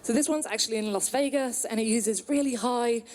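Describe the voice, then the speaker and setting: A British woman in her thirties, from the UK, giving an upbeat presentation at a trade conference with some filler pauses. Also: a little high, darkish tone. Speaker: conference speaker. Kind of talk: presentation talk.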